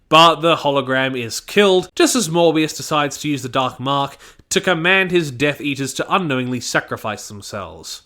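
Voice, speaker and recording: A man's voice: a little high, fairly bright tone. A man giving a scripted review and plot commentary. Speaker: audio drama reviewer.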